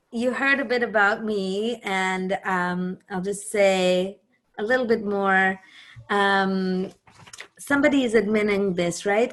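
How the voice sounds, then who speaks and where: A woman from Israel whose first language is Hebrew, a musician talking casually over a video call, searching for words with many pauses and restarts. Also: mid-range voice, fairly bright tone.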